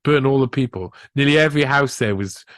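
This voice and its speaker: A man's voice: mid-range voice, brisk, a bit bright, no room sound. A man hosting a podcast, giving sarcastic, rambling commentary on a news clip and laughing at his own jokes. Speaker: podcast host.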